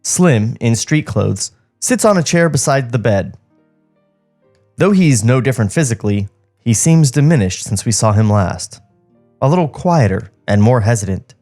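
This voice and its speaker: A man with a mid-pitched voice co-hosts a comedy podcast. He reads stage directions and breaks off into casual, joking asides. Music plays behind his studio mic. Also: expressive, normal speed, dark tone. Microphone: podcast studio microphone.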